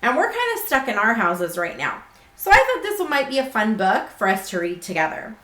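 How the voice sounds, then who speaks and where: Brief: female children's storyteller, high and animated, acting out the words, in a roomy, echoing space. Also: brisk. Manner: expressive, acts out words and characters. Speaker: children's club staffer reading aloud. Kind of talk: picture book read-aloud for children. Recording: roomy, echoing indoor sound.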